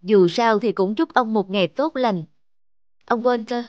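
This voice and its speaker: A woman's voice: on the high side, measured pace, dull audio, lightly acted. A woman voicing a patient, kindly young waitress in a cartoon, speaking politely and reassuringly. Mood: gentle, encouraging. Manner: courteous, gently reassuring. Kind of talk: animated cartoon dubbing. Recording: music behind a close dry voice.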